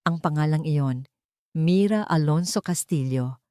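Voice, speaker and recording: Heard up close in a dry, close-miked recording, a woman with a lowish voice narrates a suspenseful first-person drama. She keeps the telling tense and gripping. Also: unhurried, mellow, smooth, no echo. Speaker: storyteller.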